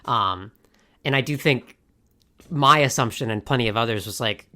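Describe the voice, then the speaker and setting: A podcast co-host in casual conversation, with a voice pitched right in the middle. They speak articulately and steer the talk from one topic to the next. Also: normal speed, bright, forceful tone. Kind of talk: casual chat.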